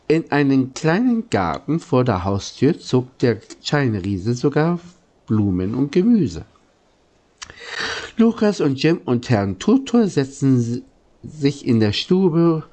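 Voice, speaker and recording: A man's voice: medium pitch, warm tone. A man with a sniffly head cold, reading a children's story aloud and now and then stumbling over words. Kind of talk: slow, informal audiobook-style reading.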